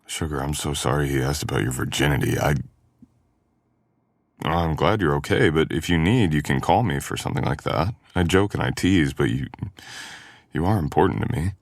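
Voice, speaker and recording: A man's voice: very deep voice, moderate pace, no room sound. A man playing a teasing, caring male best friend in an intimate boyfriend ASMR audio roleplay, with playful grumbling. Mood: concerned, gentle.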